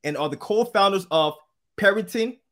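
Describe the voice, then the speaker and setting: A man's voice: high-pitched, animated, moderate pace, fairly bright tone. A man hosting an interview podcast, upbeat and chatty, with frequent fillers and restarts. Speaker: podcast host.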